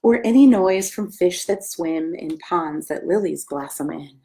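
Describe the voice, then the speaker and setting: An American woman, a pastor, reading a children's story aloud with gentle, simple asides and questions for young listeners. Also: on the low side, animated, a little slow, creaky, low-quality recording. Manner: gentle, simple questions addressed to small children, often hushed.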